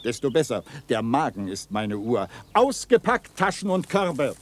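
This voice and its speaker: An actor playing a jovial, boisterous, good-natured bohemian in a radio play, exclaiming heartily. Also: male voice, mid-range voice, very animated, a little slow, a little hiss or hum.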